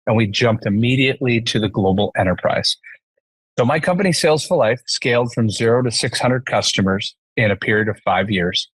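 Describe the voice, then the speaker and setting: Podcast guest: male, forties, Canadian accent, mid pitch, conversational business storyteller, dry recording. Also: fairly quick, breathy edge, no room sound, low-quality recording. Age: 40s.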